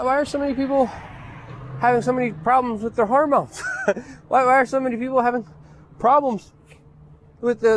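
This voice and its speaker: A man who grew up in Poland speaks in a slightly high voice, railing against the state of things with biting sarcasm. His delivery is indignant and scolding, a ranting monologue rather than a conversation. A faint rumble of passing vehicles sits in the background. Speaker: political commentator.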